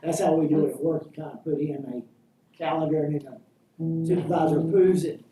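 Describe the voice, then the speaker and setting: A man's voice: a little high, fairly even intonation, a little fast, warm tone, soft background music. A man taking part in an informal meeting, speaking casually and a little tentatively. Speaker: meeting participant. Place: meeting room, picked up from a distance. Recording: echoey room, distant mic, clear noise.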